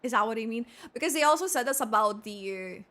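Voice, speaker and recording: A woman's voice: fairly high pitch, expressive, fast, bright, forceful tone. A woman streaming a game with casual, rambling commentary, thinking aloud and correcting herself as she goes. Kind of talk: casual live gameplay commentary.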